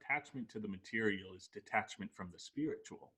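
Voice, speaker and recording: A man playing a placid mystic sidekick in an amateur table read, speaking in a deadpan way. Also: fairly low pitch, brisk.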